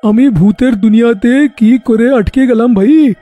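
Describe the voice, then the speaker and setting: A hapless cartoon truck driver, acted by a man, blustering and pleading in plain colloquial speech. The voice is high and mellow, with faint music behind it. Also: a little slow. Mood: terrified, pleading.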